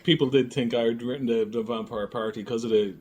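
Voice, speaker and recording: A man in a casual interview, speaking as a creative guest in a reflective, halting way. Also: mid-range voice, steady tone, a little mellow. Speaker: audio drama creator and filmmaker, interview guest. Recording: background noise, room ambience.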